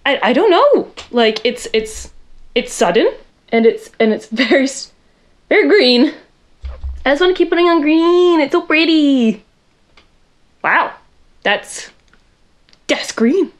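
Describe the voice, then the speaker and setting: A young woman with a loud voice chatting casually in her bedroom while doing a makeup tutorial, rambling and poking fun at herself. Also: high-pitched, expressive, slow, pauses often. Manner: chatty, rambling, self-deprecating, talks to viewers while working. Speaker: makeup vlogger.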